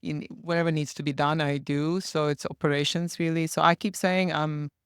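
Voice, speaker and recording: A speaker from Serbia talks English with a Serbian accent, in a casual, lively way. The voice has a gravelly edge but stays smooth and clear. The recording is dry and close. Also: mid-range voice, dry recording. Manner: lively and self-deprecating, with quick laughs. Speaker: operations team member, guest. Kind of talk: casual conversation.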